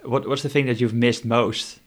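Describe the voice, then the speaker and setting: A man asks interview questions in a relaxed, halting way, repeating words and restarting as he goes. His voice sits at an ordinary middle pitch. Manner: hesitant, repeats words while forming questions.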